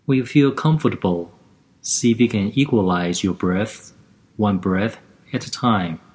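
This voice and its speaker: A man guiding a lying-down relaxation and breathing exercise, giving gentle step-by-step instructions in a calm, even way. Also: on the low side, unhurried.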